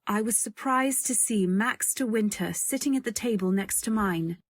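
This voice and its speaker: A woman's voice: medium pitch, moderate pace, dry recording, close to an everyday voice. Woman acting a demure, wistful young companion, dry close sound. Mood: wistful narration. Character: modest, earnest young female companion, quietly candid. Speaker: narrator and heroine. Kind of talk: first-person narration in old-time radio drama.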